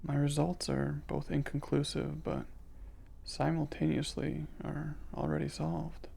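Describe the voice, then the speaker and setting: Man playing curious reserved male alien, ASMR roleplay, unhurried, slightly high-pitched, soft breathy voice, formal precise phrasing. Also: completely flat delivery, a little hiss or hum. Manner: formal, precise, hesitant wording with measured delivery.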